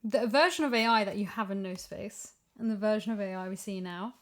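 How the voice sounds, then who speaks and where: A woman speaks as a podcast guest in a casual, conversational style. Her voice has an average pitch for a woman, and she is recorded in a home. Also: fairly quick. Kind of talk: casual chat. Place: in a house.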